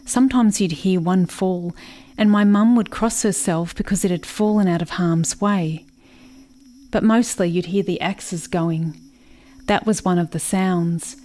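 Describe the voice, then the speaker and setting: A woman acts a haunted young settler girl in a radio drama. She talks very slowly and dreamily, with incantatory repetitions, as if grieving inwardly. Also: fairly low pitch, mellow, natural voice. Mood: dreamy, wistful. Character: haunted, dreamy settler girl mourning her lost brother. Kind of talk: literary radio play monologue.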